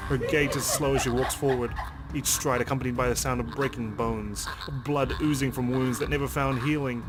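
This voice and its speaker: High-pitched man reading a game aloud. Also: fairly even intonation, brisk, background noise. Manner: swears in reactions. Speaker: let's play host. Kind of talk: dramatic reading aloud of game text, with reactions.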